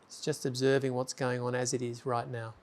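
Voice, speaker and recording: A man guiding a meditation in a calm, gentle way. His voice is steady and even, with little rise and fall. He speaks unhurried instructions and leaves long silences between them. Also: medium pitch, slight background noise. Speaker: meditation guide. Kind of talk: guided meditation.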